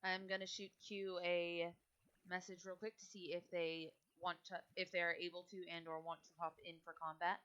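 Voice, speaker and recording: A woman's voice: on the low side, little pitch movement, moderate pace, a bit bright, clear, resonant tone, dull audio. A woman running a tabletop game as game master, casually switching between narration and rules talk in an informal way.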